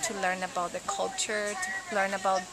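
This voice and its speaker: A woman's voice: mid-range voice, little pitch movement, a little fast, a crowd in the background, low-quality recording. A woman from Ecuador who teaches Spanish, answering interview questions with enthusiasm and many fillers.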